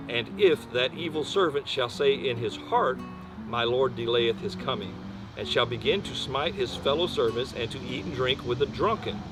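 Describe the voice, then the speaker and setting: A mid-pitched man reads from the Bible with guitar music clearly playing behind him. He is an amateur reader who gives the scripture emphasis. His own asides are plainspoken and informal. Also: low-quality recording. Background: plucked guitar music.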